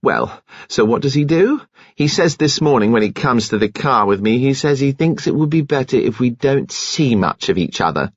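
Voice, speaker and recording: A man's voice: mid-range voice, expressive, normal speed, no echo, dull audio, pitched above the performer's own voice. A man voicing a brazen, embittered, slangy woman in a rage, with scornful, emphatic delivery, as an audiobook character. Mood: bitter.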